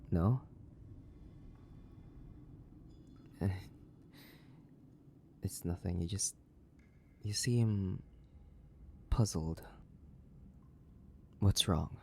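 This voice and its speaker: A man playing a centuries-old, sadistic vampire in a theatrical horror audio roleplay, condescending and drawling, with a gravelly, breathy voice that slips into whispers. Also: low-pitched, a little mellow, slight background noise. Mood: haughty, teasing. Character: sadistic, centuries-old imprisoned male vampire, mocking and bored. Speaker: voice actor.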